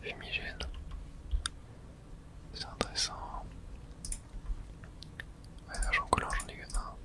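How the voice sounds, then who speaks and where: A man whispering ASMR video game commentary, murmuring short reactions to himself. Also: takes it slowly.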